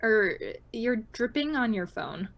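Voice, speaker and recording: A woman playing a deadpan, awkwardly concerned college student in a radio drama. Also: fairly high pitch, slow pace, gravelly, heavily acted.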